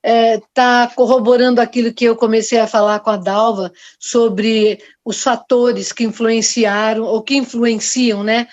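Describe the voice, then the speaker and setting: A woman in her sixties moderating a panel, speaking in a measured, explanatory way over a video call. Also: fairly high pitch, no echo. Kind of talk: discussion panel talk. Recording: dry, dull video call audio.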